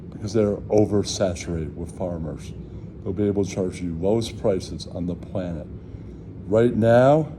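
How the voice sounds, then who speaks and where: A very mellow, dark-toned man talks slowly over faint background noise. He rambles casually like a vlogger, swears freely and circles back to the same catchphrases. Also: lowish voice. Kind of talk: casual rambling chat to camera.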